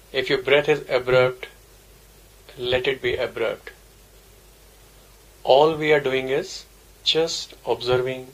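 A man giving guided meditation instructions as a teacher, in measured, simple, repetitive phrases. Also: mid-range voice, fairly bright tone, low-quality recording.

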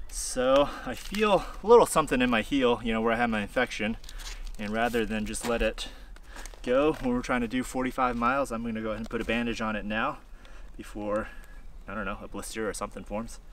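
A man's voice: mid-range voice, a little fast, slight background noise. Laid-back man vlogging on a forest trail. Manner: relaxed, rambling, thinking aloud with fillers. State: sweaty and hot while hiking. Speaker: hiking vlogger. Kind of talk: casual vlog talk to camera. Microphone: handheld camera held at arm's length.

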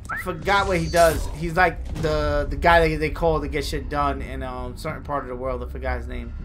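A man's voice: fairly high pitch, level tone, brisk, noisy background. A man in his thirties reacting live and chatting over gameplay, slangy and exclamatory with plenty of swearing. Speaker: gaming streamer. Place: small room at home.

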